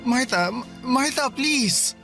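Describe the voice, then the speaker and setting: A devoted, needy boyfriend in a radio drama. His voice runs very high for a man, earnest and pleading, with music behind him. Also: animated, slow pace, gravelly, exaggerated voice. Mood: hurt, pleading. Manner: pleading, stammering when upset. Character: clingy, earnest, pleading boyfriend, hurt and worried. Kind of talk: Tagalog radio drama acting.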